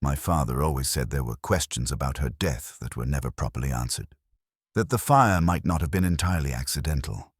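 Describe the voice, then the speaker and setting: Man voicing a troubled young wife, low and husky. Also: lively intonation, fairly quick, dry recording, clean studio sound, exaggerated voice. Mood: confiding. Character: anxious young married woman, candid and troubled. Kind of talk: scripted mystery story dialogue.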